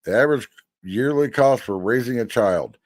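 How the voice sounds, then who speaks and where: A man's voice: mid-range voice, normal speed, no echo. A man in his fifties giving blunt, folksy commentary, now and then swearing. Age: 50s. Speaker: commentator reacting to a hearing. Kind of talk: casual commentary over a clip.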